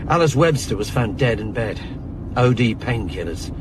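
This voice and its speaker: An actor playing an informal, helpful, matter-of-fact junior police detective in a radio drama. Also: male voice, medium pitch, expressive, moderate pace, noisy background, lightly acted.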